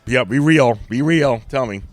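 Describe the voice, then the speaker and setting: A middle-aged man with a deep voice, speaking candidly and emphatically as a podcast guest. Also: moderate pace, dry recording. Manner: animated, emphatic storyteller. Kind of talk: candid conversation.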